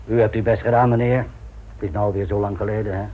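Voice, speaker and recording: Man as deferential innkeeper, slightly rough voice, evasive and soothing; radio play, thin narrow-band recording. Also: on the low side, little pitch movement, quick pace, natural voice. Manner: polite, hesitant, soothing. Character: genial, evasive male village innkeeper, deferential and placating. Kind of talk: radio drama acting.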